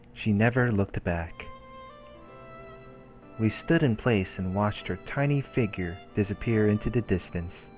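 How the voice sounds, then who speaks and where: A man with a fairly low voice reads game narration aloud in a storytelling manner. Faint music plays behind him as he hosts a let's play. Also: muffled recording. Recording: music under the voice. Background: game background music.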